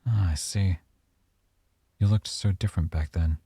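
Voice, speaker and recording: A man playing a teasing, coy young mafia boyfriend with veiled menace, in an unhurried, intimate ASMR roleplay. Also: low voice, mellow, husky, no echo, exaggerated voice. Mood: coy, smooth.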